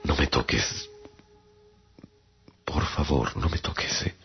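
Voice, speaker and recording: A man playing a weary, haunted traveller estranged from humankind in a radio drama, speaking gravely and haltingly with a gravelly voice. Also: extremely low pitch, exaggerated voice. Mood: withdrawn, wounded. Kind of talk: Spanish-language radio drama, first-person narration and dialogue. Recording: dull lo-fi radio production. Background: dramatic music.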